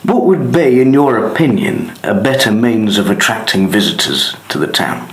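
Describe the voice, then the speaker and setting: A man working as a radio interviewer, putting prepared, formal questions in measured phrases. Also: lowish voice, animated, roomy sound.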